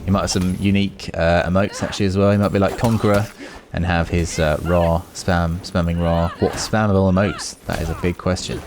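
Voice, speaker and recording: Low-voiced man giving gaming commentary. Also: level tone, brisk, few pauses. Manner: informal, chatty, rambling. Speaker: gaming video host. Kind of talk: casual gameplay commentary. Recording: noisy with clear background noise.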